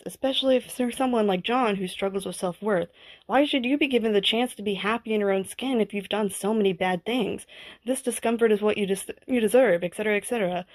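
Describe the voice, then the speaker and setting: A woman's voice in a listener voice message, speaking earnestly and personally from prepared thoughts. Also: medium pitch. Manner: earnest, heartfelt.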